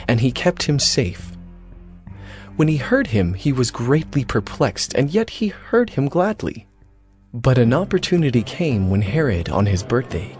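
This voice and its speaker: A man narrating dramatized scripture as a scripted story, in a measured, solemn manner. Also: medium pitch, a little mellow.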